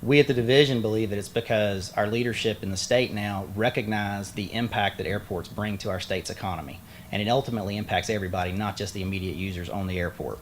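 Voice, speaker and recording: A man's voice: lowish voice, quick pace, slight background noise. A man who is a state aviation official gives a plain-spoken ceremony speech at a podium outdoors, with folksy asides and frequent fillers. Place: outdoor groundbreaking site. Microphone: podium microphone.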